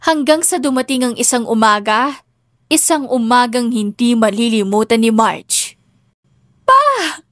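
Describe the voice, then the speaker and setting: Babaeng tagapagsalaysay, mataas ang boses. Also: female voice, on the high side, moderate pace, dry recording, studio quality. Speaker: audiobook narrator. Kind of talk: scripted dramatic story narration.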